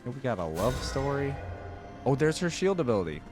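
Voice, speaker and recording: A man's voice: medium pitch, very animated, brisk, over music. A man hosting a reaction video, musing and thinking aloud in casual commentary.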